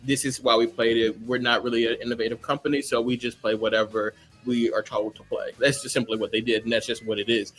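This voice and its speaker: Casual male panelist, medium pitch, faint music. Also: little pitch movement, quick pace, few pauses, fairly bright tone. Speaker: panelist on a hip-hop debate show. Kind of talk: casual opinionated debate.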